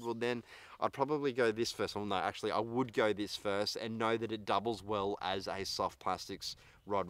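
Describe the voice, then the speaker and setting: A man's voice: medium pitch, fairly bright tone, smooth, dry recording. A man in his thirties giving a casual, blunt and opinionated product review to camera as a vlog host. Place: outdoors on a parked boat in a grassy yard.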